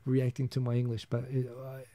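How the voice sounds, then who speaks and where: A man's voice: mid-range voice, completely flat delivery, fairly quick, dark tone, dry recording. A man in his thirties with a New Zealand accent, hosting a casual solo live stream, rambling and chuckling as he reads viewer comments. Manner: rambling, chuckling, frequent restarts.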